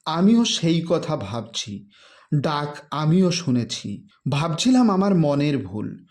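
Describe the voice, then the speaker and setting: A man voicing an earnest village schoolmaster who is polite, measured, concerned and decisive. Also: fairly high pitch, sing-song, a little mellow. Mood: pensive worry.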